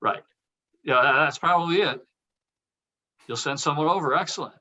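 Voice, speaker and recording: A man acting an awkward, nervous, evasive character in a script table read, halting and stammering as he goes. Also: fairly high pitch, sharp tone. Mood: nervous, apologetic.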